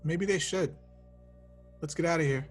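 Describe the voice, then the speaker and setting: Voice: medium pitch, normal speed, faint music behind, natural voice. An actor playing an awkward, sardonic young slacker hero in a casual, wry table read. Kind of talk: amateur screenplay table read.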